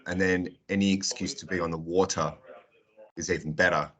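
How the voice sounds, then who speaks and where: A man's voice: sits low, fairly even intonation, a little fast, pushed and bright. A man, teaching an online class, friendly and chatty, joking with his students as he calls on them. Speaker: English teacher. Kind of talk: online class roll call.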